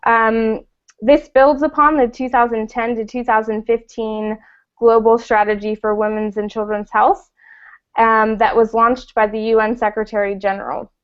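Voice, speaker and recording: A woman with a fairly high voice moderates a live online discussion, moving things along briskly. Her audio comes through a muffled video call line. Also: little pitch movement, clear, resonant tone. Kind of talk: hosting a live online hangout.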